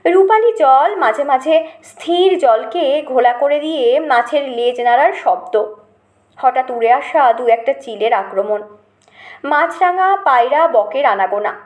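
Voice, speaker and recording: High-voiced woman storyteller, echoing sound. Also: animated, unhurried. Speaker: storyteller, audiobook narrator. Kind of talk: scripted literary narration of a childhood memoir. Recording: roomy, echoing voice recording.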